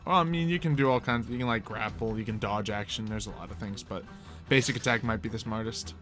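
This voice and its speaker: A man's voice: fairly low pitch, fast talker, a bit bright, soft background music. A man acting as game master, casually narrating a tabletop roleplay game and explaining its rules conversationally.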